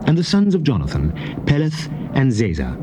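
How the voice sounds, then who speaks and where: A man narrating scripture in a solemn, measured, declamatory manner, as in a dramatized King James Bible reading. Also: mid-range voice, huge pitch swings. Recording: noisy old cassette tape recording.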